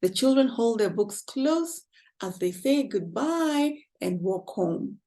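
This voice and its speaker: A woman's voice: on the high side, lively intonation, takes it slowly, warm tone. A woman reading a picture book aloud to children, expressively and with questions to the listeners. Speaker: children's storyteller.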